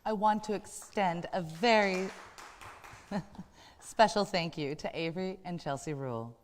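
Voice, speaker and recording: A woman's voice: low-pitched, a little mellow, slight background noise. A woman hosting a variety show, introducing segments and guests in a scripted manner. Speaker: show host. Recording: long hall-like reverb.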